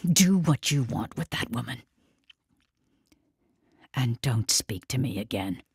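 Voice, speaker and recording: An actress playing a bitter, wounded elderly lover in an audio drama, terse and reproachful. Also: female voice, extremely low pitch, lively intonation, slow, a little mellow, slightly rough, no room sound, studio quality, heavily acted. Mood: hurt, bitter.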